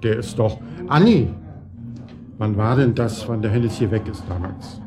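An actor playing a blunt, knowing pub landlord in a radio drama, speaking colloquially. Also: male voice, on the low side, measured pace. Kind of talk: naturalistic German radio drama. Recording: clear music behind.

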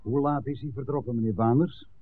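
A guarded, authoritative café owner in a vintage radio drama, acted by a man, speaking curtly at a middling male pitch. The recording is thin and narrowband, with faint noise. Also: fairly even intonation, brisk, natural voice. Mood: guarded, questioning. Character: village café owner, guarded boss of a secret operation. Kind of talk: 1960s Dutch radio drama acting.

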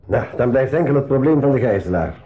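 A man's voice: medium pitch, expressive, quick pace, warm tone, muffled recording, natural voice. A man playing a plain-spoken submarine crew member in a radio drama, direct and practical. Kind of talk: 1980s school radio drama acting.

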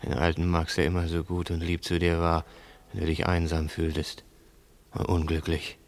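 Casting: a brooding, fearful ageing criminal, low register, muttered monologues, 1960s German radio play. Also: male voice, completely flat delivery, normal speed. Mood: bitter, mocking. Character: bitter, fearful ageing male crook, muttering to himself.

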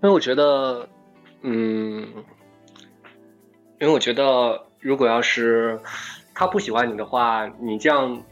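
A man's voice: medium pitch, unhurried, long pauses, lo-fi sound. A man answering questions as a voice actor in a casual online voice chat, hesitant and thinking aloud, with music behind him. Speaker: voice actor being interviewed. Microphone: online voice call.

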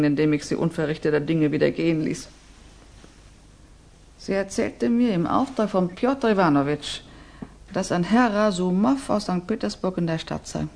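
An actress playing a resolute, commanding middle-aged Russian mother in a 1960s radio drama, speaking in a measured, insistent way. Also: deep voice, gravelly, darkish tone, full character voice.

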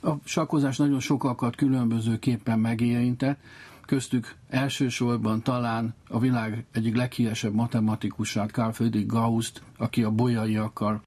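A man's voice: mid-range voice, darkish tone, slightly rough. A man, a chess grandmaster guest on a radio program, explaining at length in a discursive, knowledgeable way. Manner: discursive, knowledgeable, with side remarks.

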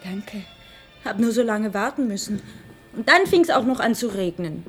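A woman's voice: medium pitch, expressive, normal speed, husky, faint music behind. A woman playing an anxious, reproachful wife in a radio play, with a pointed, querulous way of talking. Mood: complaining. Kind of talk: German radio play acting.

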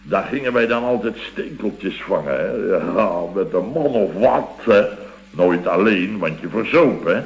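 An actor playing a blustering, sentimental middle-aged building contractor in a radio comedy, rambling and exclamatory. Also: male voice, sits low, lively intonation, a little slow, muffled recording, a little hiss or hum. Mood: reminiscing. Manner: rambling, exclamatory, with repeated tag words. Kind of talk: Dutch radio comedy acting.

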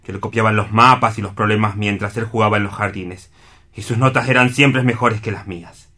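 A man's voice: lowish voice, steady tone, fairly quick, pushed and bright. A man acting in a radio play as a bitter, anguished brother, speaking with impassioned reproach. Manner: impassioned, reproachful, self-pitying.

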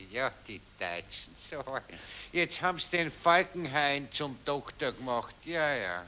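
A man's voice: a little high, big pitch swings, takes it slowly, sharp tone, a little hiss or hum, full character voice, rough recording. A man playing a mumbling old general. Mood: evasive. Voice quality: mumbling, hesitant, evasive. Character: old Viennese general, vague and evasive. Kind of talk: radio play acting.

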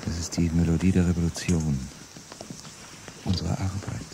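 An actor playing a grim, fanatical revolutionary in a German radio drama, speaking in grave, incantatory lines. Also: male voice, deep voice, little pitch movement, normal speed, noisy background.

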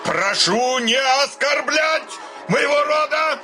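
A man's voice: extremely high pitch, animated, slow, full character voice. An actor playing a touchy, hypochondriac young landowner in a radio farce, breathless, stammering and indignant, his voice cracking into squeals. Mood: outraged. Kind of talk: theatrical radio comedy acting. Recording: radio play with clear music behind.

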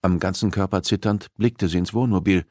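A man's voice: sits low, fairly even intonation, brisk, a little mellow, no echo, clean studio sound. A man reading a suspense novel aloud as scripted, dramatic audiobook narration.

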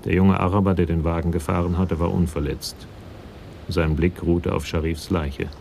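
A man with a gravelly voice reading scripted literary narration for a German radio crime drama. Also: low voice, level tone, moderate pace, warm tone, slight background noise.

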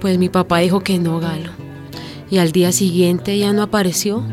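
A woman's voice: lowish voice, normal speed, over music, lightly acted. Actress as a spirited young Colombian woman. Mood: sorrowful, earnest. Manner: animated, familiar, slangy. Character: lively, resilient young Colombian refugee woman selling vegetables. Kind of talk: radio drama dialogue.

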